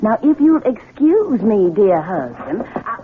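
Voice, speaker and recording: Scheming Southern wife for radio mystery: haughty, swooping delivery, muffled narrow-band old broadcast sound. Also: female voice, mid-range voice, big pitch swings, slow pace, slight background noise. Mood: defiant, scornful. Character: scornful scheming young Southern wife, a gold-digging schemer. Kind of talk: 1970s radio drama acting.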